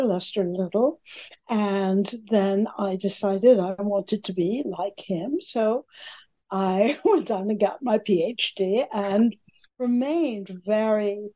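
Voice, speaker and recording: An older woman historian answering interview questions, halting, with many fillers. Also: mid-range voice, mellow. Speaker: historian guest.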